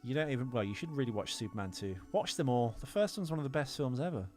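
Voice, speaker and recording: A man's voice: mid-range voice, animated, fast talker, dark tone, faint music behind. A man co-hosting a casual film podcast, talking in an opinionated, rambling way with plenty of swearing. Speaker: podcast co-host.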